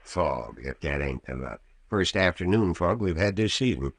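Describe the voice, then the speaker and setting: An actor playing a cautious, grumbling, folksy small-town doctor in an old-time radio drama. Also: male voice, low-pitched, animated, normal speed, slightly rough, no room sound, studio quality, exaggerated voice. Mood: gloomy.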